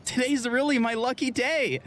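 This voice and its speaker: A man's voice: very high-pitched, steady tone, moderate pace, heavy background noise. A man playing an earnest, friendly young warrior guard in a video game, with a hearty delivery. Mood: admiring.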